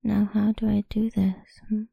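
A woman whispering a slow, doting and teasing ASMR roleplay in a breathy voice. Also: mid-range voice, level tone, dry recording, narrow, tinny audio.

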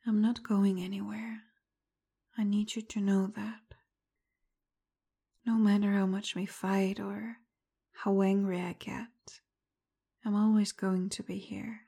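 A woman speaking straight to the listener, first frustrated and confrontational, then soft, apologetic and tender, much of it in a breathy whisper. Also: medium pitch, level tone, takes it slowly, long pauses. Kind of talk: roleplay audio addressed to the listener.

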